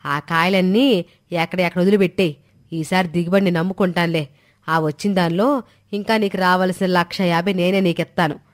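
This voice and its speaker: A woman's voice: lowish voice, fairly even intonation, a little fast, lightly acted. A woman playing an older, cautious village mother-in-law in a storybook drama, with homely colloquial speech. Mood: doubtful, firm. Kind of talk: dramatized Telugu moral story dialogue.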